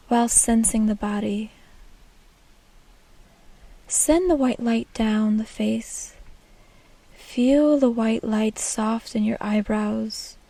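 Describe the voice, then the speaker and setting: A woman leading a guided meditation in a soft, breathy, half-whispered and soothing delivery. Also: fairly high pitch, dry recording.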